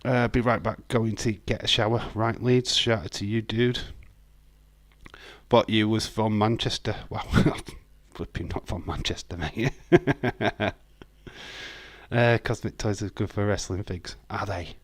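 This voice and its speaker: Broad Yorkshire male streamer, lowish pitch, dry close mic, loose chatty delivery with frequent fillers. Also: fairly even intonation, measured pace, no echo. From Yorkshire, England. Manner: chatty, reads viewer comments aloud, swears, many ums. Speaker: livestream host. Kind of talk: casual live watch-along chat.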